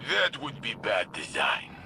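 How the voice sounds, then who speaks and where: A huge kindly robot warrior. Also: male voice, mid-range voice, wildly swooping pitch, unhurried, bright, forceful tone, slight background noise, heavily acted. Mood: earnest. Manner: broken English, short blunt sentences. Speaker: tactical overseer. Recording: game cutscene with music.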